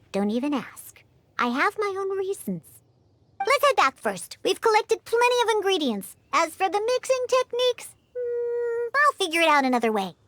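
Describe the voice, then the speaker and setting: Tiny proud cat girl bartender from a game, super high squeaky pitch, huffy n cutesy, bouncy intonation. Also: a bit bright, a little hiss or hum, full character voice. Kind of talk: scripted video game character acting. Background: background music.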